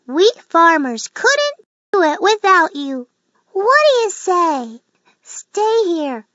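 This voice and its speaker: A squeaky little field mouse, voiced by a woman, talks with a bright, sharp tone and lively swoops of pitch. He is a polite, chatty farmer who makes his case courteously in a storybook read-aloud. The recording is dry and a bit dull. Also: no echo, exaggerated voice. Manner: courteous, persuasive, talkative.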